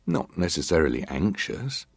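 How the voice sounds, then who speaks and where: A man acting a middle-aged ship's officer in a dramatised reading of testimony, giving guarded, measured answers with clipped formal deference. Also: very deep voice, big pitch swings, slightly rough, no room sound, clean studio sound, heavily acted. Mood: guarded, composed.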